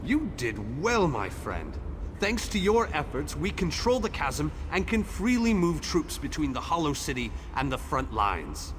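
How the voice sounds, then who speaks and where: A fastidious commander from a fantasy video game, high in pitch and animated. He gives orders formally and articulately, with a businesslike briskness. Clear ambient noise sits behind the voice. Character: male commander leading an army, fastidious, businesslike ally. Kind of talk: scripted video game dialogue.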